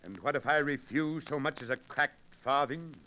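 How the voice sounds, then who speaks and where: A man's voice: on the high side, moderate pace, sharp tone. An actor playing a hearty, commanding nobleman with theatrical archaic speech in a 1940s radio adventure. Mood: wry, challenging. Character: hearty, commanding nobleman on a hunt, disguised as abbot. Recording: noisy 1940s radio recording with clicks.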